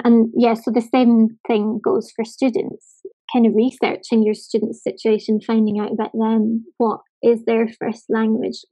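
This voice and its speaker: A woman's voice: a little high, dark tone, muffled recording. Woman with a light Scottish accent. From Scotland. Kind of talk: casual conversation.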